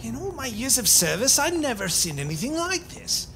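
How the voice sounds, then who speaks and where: Man playing troubled overseer, swinging pitch. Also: very animated, moderate pace, over loud music, heavily acted. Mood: shaken. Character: troubled, defiant military site overseer. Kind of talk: fan audio drama acting.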